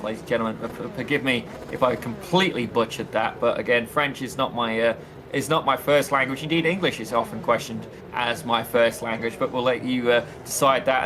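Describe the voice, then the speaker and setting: A man doing theatrical play-by-play commentary for a sim racing league, addressing viewers as ladies and gentlemen. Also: medium pitch. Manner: excitable, theatrical, keeps saying "ladies and gentlemen" and "indeed". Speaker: lead race commentator. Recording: noticeable background noise.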